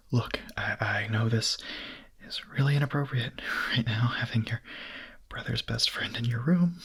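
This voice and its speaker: A man playing a hungry, nervous, lovestruck young vampire in an ASMR roleplay, speaking in a breathy, husky, hesitant whisper. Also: mid-range voice, fairly bright tone, exaggerated voice. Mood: nervous, pleading. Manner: hesitant, halting, confessional.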